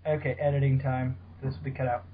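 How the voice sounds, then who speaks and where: A man's voice: medium pitch, flat delivery, quick pace, slight background noise. A man hosting a casual fan discussion podcast, talking in an informal, rambling way. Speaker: podcast host. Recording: dull, lo-fi voice call audio.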